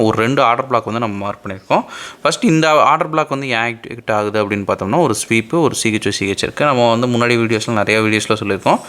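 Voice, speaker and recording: A man's voice: lowish voice, fairly bright tone. A man with an Indian Tamil accent, explaining step by step as a trading instructor in an informal screen-share tutorial and often checking that listeners follow. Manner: explanatory, often checking understanding with short tag questions.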